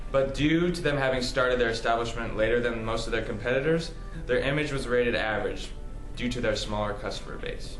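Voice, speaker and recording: A man's voice: medium pitch, fairly bright tone. A young man delivering a formal, scripted student presentation. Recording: roomy echo, faint music.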